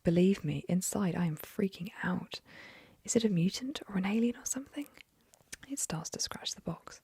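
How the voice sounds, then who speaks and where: A young woman whispering an ASMR story reading into a close mic, softly, with gentle fillers. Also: mid-range voice, lively intonation, normal speed, slightly rough, no echo. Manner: soft whisper, chatty with fillers after the story.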